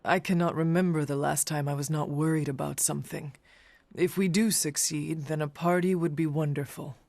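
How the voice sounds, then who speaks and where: A woman's voice: low-pitched, steady tone, normal speed, darkish tone. A woman playing an earnest, formal warrior from centuries ago in a video game. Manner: formal, stiff, no contractions.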